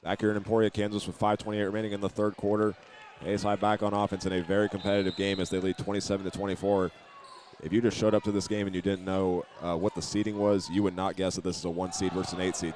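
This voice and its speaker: A man doing live play-by-play of a high school basketball game, sometimes restarting his phrases, with the sounds of the game behind him. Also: a little mellow, slight background noise. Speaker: play-by-play announcer.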